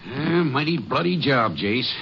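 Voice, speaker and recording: A businesslike country sheriff with a lively, up-and-down delivery. The old broadcast is muffled and hissy, with some room around it. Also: male voice, mid-range voice, measured pace, gravelly. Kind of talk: 1950s radio drama acting.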